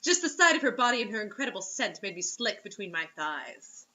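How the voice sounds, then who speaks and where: A woman's voice: medium pitch, big pitch swings, a little fast, pushed and bright, dull audio. A woman reading fiction aloud, giggly and often breaking off into incredulous joking asides. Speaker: reader and co-host mocking bad fiction.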